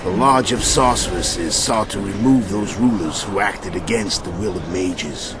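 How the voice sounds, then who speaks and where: An actor playing a grim captive assassin, terse and subdued, in a fantasy game cutscene. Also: male voice, low-pitched, measured pace, crowd noise. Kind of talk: dramatic video game cutscene acting.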